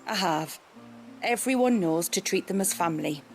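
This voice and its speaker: An actress playing a courteous, helpful villager in a fantasy video game, speaking in formal, obliging phrases. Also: female voice, on the low side, expressive, moderate pace, soft background music. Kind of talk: video game cutscene acting.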